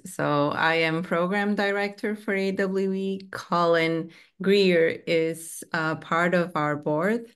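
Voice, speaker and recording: Low, smooth-voiced woman from Portugal. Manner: careful, with restarts. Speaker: program director. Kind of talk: panel self-introduction. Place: home room. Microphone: video call webcam.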